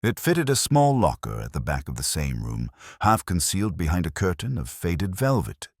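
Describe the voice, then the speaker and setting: A very low male voice, dry and close, with a lot of movement in its pitch. a weary police inspector in an audio mystery drama, recounting his case in measured, literary phrases with a brooding, reflective air. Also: very animated, dry recording, heavily acted. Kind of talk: scripted first-person mystery narration.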